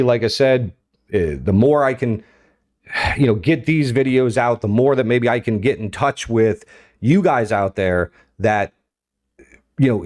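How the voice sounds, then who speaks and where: A man's voice: mid-range voice, a little mellow. Informal, rambling American man giving commentary. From United States. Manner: informal, rambling, repeatedly checking in with listeners. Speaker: host of a political commentary show. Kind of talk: unscripted opinion commentary to viewers.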